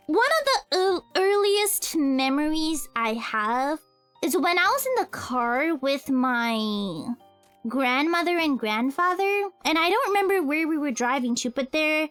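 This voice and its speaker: A woman's voice: high-pitched, fairly bright tone. A young woman streamer and cover singer chatting casually in a livestream Q&A, rambling, correcting herself and giggling often.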